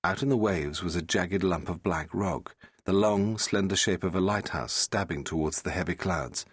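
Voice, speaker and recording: A man narrating a fiction audiobook in a measured, dramatic and suspenseful manner. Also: sits low, slightly rough, dry recording.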